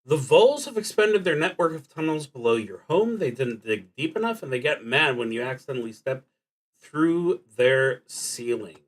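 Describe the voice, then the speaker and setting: A man streaming a solo tabletop roleplaying game, thinking aloud casually and often pausing mid-sentence. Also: mid-range voice, animated, low-quality recording. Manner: halting, with frequent pauses mid-sentence.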